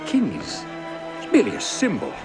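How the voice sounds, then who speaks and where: A man playing a cold, calculating conspiracy leader in a video game, speaking in a measured, grandiose, philosophizing way. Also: fairly high pitch, wildly swooping pitch, creaky, music in the background, exaggerated voice. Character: cold, calculating male Templar mastermind, smug conspirator. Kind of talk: scripted video game acting.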